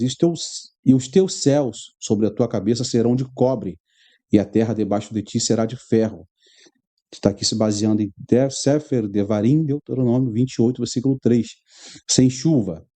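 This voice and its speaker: A man's voice: mid-range voice, mellow. A man with a Rio de Janeiro Brazilian accent, reading news aloud with conversational asides to his viewers as a religious commentary host.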